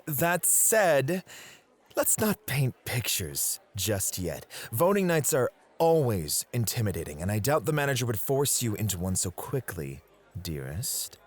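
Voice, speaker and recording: Animated, devoted male club host acted by a man. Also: mid-range voice, a little slow. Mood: guarded, jealous. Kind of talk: romantic ASMR roleplay.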